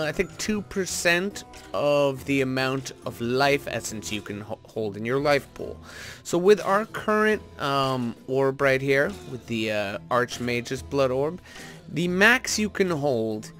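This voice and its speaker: A man's voice: medium pitch, a bit bright. A man hosting a gaming video, talking casually and informally as he thinks aloud while playing. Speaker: host of a gaming video series. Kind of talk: casual gameplay commentary.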